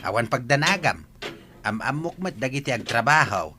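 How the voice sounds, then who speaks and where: A man's voice: medium pitch, big pitch swings, normal speed, background noise, natural voice. A man acting a kindly, helpful older father in an audio drama. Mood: warm, reassuring.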